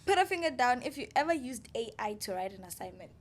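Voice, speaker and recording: A woman's voice: on the high side, very animated, quick pace. A young woman co-hosting a student podcast, asking questions in a playful, teasing way and laughing often. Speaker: podcast co-host. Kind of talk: casual interview chat.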